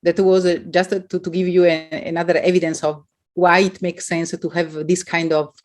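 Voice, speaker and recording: A woman with an Italian accent, speaking as an academic who answers questions in an expressive, halting way. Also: on the low side.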